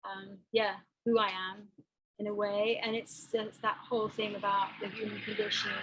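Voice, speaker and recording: A woman's voice: mid-range voice, fairly bright tone, low-quality recording. A woman artist talking through a slideshow of her work, hesitant and thoughtful, with many restarts. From Botswana. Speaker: artist giving a talk. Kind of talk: presenting a slideshow talk.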